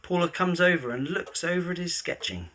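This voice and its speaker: A woman narrating an audio drama from a script, with a husky voice. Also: lowish voice, lively intonation, a little fast, fairly bright tone.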